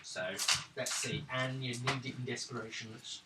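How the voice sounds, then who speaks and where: A man's voice: medium pitch, level tone, moderate pace. Echoey, distant man running a tabletop game. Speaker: game master. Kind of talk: improvised narration of a tabletop role-playing game. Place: indoor room around a gaming table. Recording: echoey room, distant mic, noticeable background noise.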